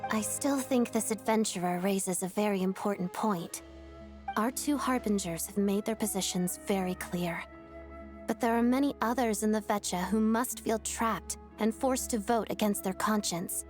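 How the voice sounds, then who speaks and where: A woman's voice: medium pitch, normal speed, a little mellow, faint music behind, natural voice. A woman voicing a polite, principled young woman in an anime game, formal, courteous and reserved. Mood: earnest.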